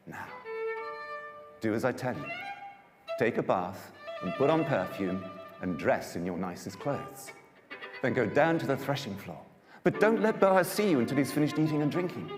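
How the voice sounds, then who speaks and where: A man plays an older mother-in-law, caring and directive, in a dramatised Bible story. The lines swing up and down as she exclaims and instructs. The hall adds a long echo, and music plays softly behind. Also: on the low side, natural voice. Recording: echoey hall reverb.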